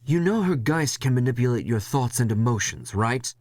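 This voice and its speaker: A man's voice: medium pitch, animated, normal speed, darkish tone, no room sound, pitched above the performer's own voice, close to an everyday voice. A man voicing a stern, commanding male crew boss in an audio drama, exasperated and lecturing. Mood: firm, dismissive.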